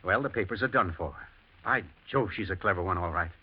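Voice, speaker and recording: An actor playing a shrewd, suspicious master of the house, urgent and dryly genteel, in a 1940s-style radio drama. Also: male voice, deep voice, fairly quick, husky. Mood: wry, suspicious. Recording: muffled, grainy old radio broadcast.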